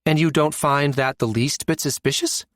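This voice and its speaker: Man voicing an insistent woman officer, raised pitch. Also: normal speed, dry recording, clean studio sound, natural voice. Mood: skeptical, demanding. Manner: questioning, pressing. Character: insistent, challenging woman navy officer in science fiction. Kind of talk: audiobook character dialogue.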